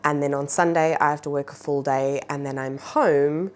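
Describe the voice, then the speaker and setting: Low-voiced nasal woman chatting casually. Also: fairly even intonation, moderate pace. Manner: chatty, rambling with fillers. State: recovering from a cold. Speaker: plant vlogger, mother. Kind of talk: casual chat.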